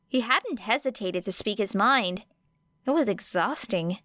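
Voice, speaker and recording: A woman reading a fiction audiobook as narrator, with expressive scripted delivery. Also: mid-range voice, measured pace, no echo.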